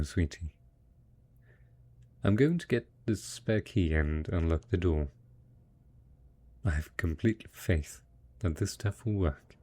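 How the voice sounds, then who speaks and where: A man playing a devoted, tender husband in a post-apocalyptic audio drama, speaking in a gentle, coaxing, husky voice with plenty of endearments. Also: sits low, heavily acted. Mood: tender, proud. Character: devoted, tender, hopeful husband caring for ghoul spouse after apocalypse. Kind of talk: roleplay audio drama, intimate monologue addressed to listener.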